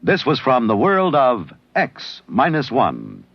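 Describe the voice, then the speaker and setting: A man's voice: medium pitch, wildly swooping pitch, slow, over loud music. A man with an American accent reading scripted credits as a 1950s radio announcer. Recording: dull old radio recording.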